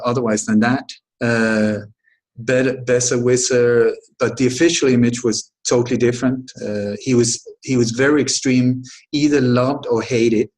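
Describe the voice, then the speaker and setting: A middle-aged man speaks at a medium pitch as an interview guest. He talks at length and stops often to restart and correct himself. He lands his points with emphasis. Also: a little mellow, low-quality recording. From Sweden. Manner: halting, frequent restarts and self-corrections, emphatic. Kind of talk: long conversational answer.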